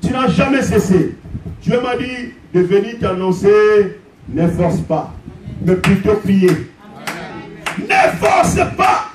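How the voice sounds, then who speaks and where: A man delivers an impassioned sermon, his voice sitting extremely high for a man. He shouts and hammers phrases over and over. The sound is echoey and distant, with noise underneath. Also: expressive, takes it slowly. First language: Portuguese. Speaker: pastor. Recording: echoey room, distant mic, background noise.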